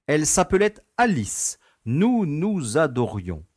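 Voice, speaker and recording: Man acting as urbane prison director, wry, genteel, expressive mid-pitched voice, close dry sound, audio drama. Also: slow, dry recording, full character voice. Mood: wistful, amused. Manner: wry, nostalgic, genteel storytelling. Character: urbane, easygoing prison director, former playboy.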